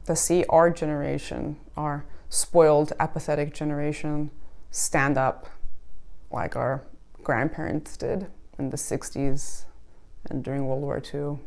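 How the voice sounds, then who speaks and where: A woman's voice: sits low, level tone, a little slow, vocal fry, a little mellow. A woman in her thirties from California, German her first language with a slight German cadence, speaking earnestly and reflectively about her life. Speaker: interviewee. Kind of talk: personal testimony to camera.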